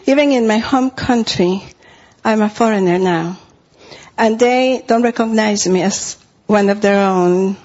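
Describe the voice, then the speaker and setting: A woman's voice: medium pitch, measured pace, a little mellow, muffled recording. A middle-aged woman from Costa Rica with a Spanish accent, preaching a sermon in an earnest way and repeating phrases for emphasis.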